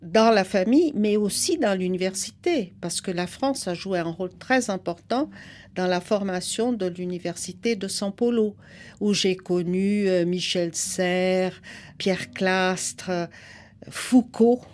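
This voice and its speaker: Slow-spoken older woman. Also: medium pitch, a little mellow. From Brazil. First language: Portuguese. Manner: thoughtful, unhurried, laughs now and then. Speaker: guest, psychoanalyst and writer. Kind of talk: relaxed interview conversation.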